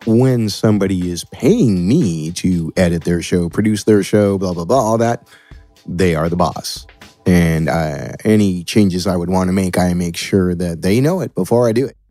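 A man's voice: low voice, moderate pace, mellow, dry recording, soft background music. An American man talking casually and playfully as a podcast guest, explaining how he works in a laid-back, colloquial way. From California, USA. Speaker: podcast producer and audio editor, guest.

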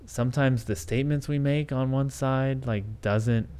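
A man's voice: mid-range voice, steady tone, unhurried, dark tone, clear, resonant tone. A man hosting a solo podcast, talking things through in a thoughtful, hesitant way with many restarts and fillers. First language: English. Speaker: podcast host.

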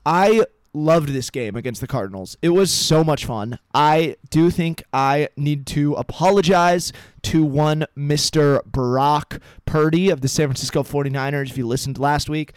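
A man hosting a football podcast, talking in a measured, analytical way. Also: a little high, clear, resonant tone, dry recording. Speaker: podcast host. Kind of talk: casual sports chat.

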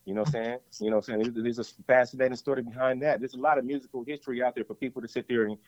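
A man's voice: mid-range voice, steady tone, very fast, no echo. A man, a rapper, talking casually in an interview, rambling and often repeating "you know what I'm saying.". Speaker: rapper guest. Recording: dull lo-fi dry sound.

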